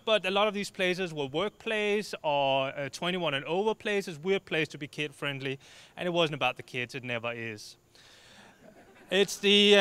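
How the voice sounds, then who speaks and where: Danish guy joking around on stage with a handheld mic, bright voice, dry close sound. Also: a little high, clear, resonant tone, dry recording. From Denmark. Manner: lively, joking, waves his arms. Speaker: speaker. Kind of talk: short comic talk on stage. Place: dark stage before an audience.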